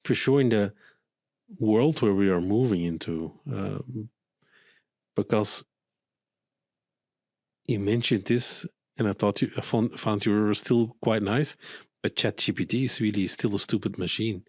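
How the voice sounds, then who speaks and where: A man hosting a podcast interview, speaking hesitantly with frequent pauses and restarts. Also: on the low side, no echo, clean studio sound. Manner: hesitant, many uh fillers and restarts. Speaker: podcast host.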